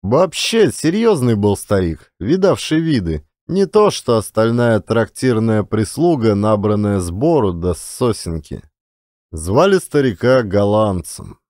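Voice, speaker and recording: A man narrating classic literary fiction as an expressive audiobook storyteller. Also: fairly low pitch, unhurried, no echo, studio quality.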